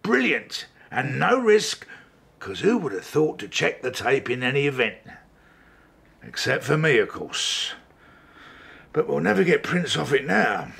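An actor playing a grumpy, conceited, bullying older chief inspector in a radio drama, blunt and sarcastic, with a gravelly voice. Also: male voice, medium pitch, very animated, unhurried, a bit bright, exaggerated voice. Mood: smug, explaining.

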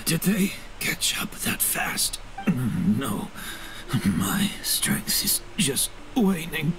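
A man playing a weakened, despairing older man in a video game, halting and breathy. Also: mid-range voice, sing-song, whispered, full character voice. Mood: weary resignation.

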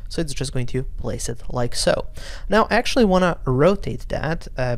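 A man in his thirties talks through a screen-recorded software lesson at a middle pitch. He explains each step in a loose, relaxed way and fills his pauses with "uh". He is recorded close on a studio mic in a small home office. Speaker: architecture software instructor. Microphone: studio mic on boom arm, close.

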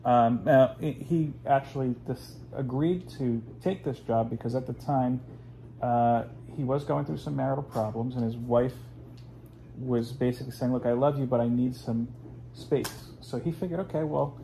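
A man's voice: mid-range voice, normal speed, creaky, warm tone, slight background noise, rough recording. A man in his forties, hosting a casual home video, talking in a rambling, chatty way with plenty of fillers. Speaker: host. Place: living room at home, indoors.

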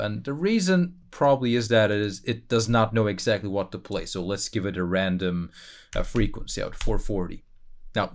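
A man with a fairly low voice narrates a screen-recorded software tutorial. He explains each step informally, thinking aloud in a casual, conversational way. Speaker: tutorial presenter.

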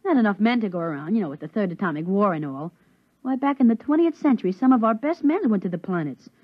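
Female actor as naive teenage boy, earnest, boyish, animated, vintage radio drama, dull lo-fi recording. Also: mid-range voice, fast, slightly rough, dry recording. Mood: polite, earnest. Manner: earnest, boyish, wide-eyed. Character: eager, naive thirteen-year-old boy, chatty and polite. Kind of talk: 1950s radio drama acting.

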